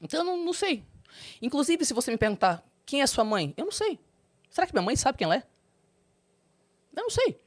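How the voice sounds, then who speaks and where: A young adult woman, a guest in an interview, candidly telling her personal story and breaking into high, animated quotes. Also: fast, pauses often. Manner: expressive, swings into high animated quoting.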